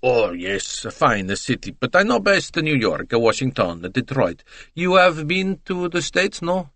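A man putting on a garrulous salesman, his voice pitched well above the reader's own and bouncing with excitable chatter. He speaks, full of broken phrases and scorn, in a dry, close recording. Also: a little slow, no echo. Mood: chatty. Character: chatty Italian male salesman, talkative, scornful.

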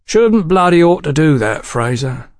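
A tall, insolent Victorian rough in an audiobook novel, acted by a man. He talks slangily and with calculation, his voice lifted above an ordinary pitch. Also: lively intonation, normal speed, no room sound, clean studio sound, natural voice. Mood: calculating.

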